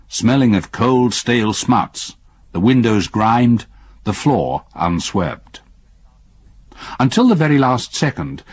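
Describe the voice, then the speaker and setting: An actor narrating as a man recalling a journey from his youth, calm and reflective in a radio drama. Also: on the low side, slightly rough, no echo. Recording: old radio broadcast with audible hiss.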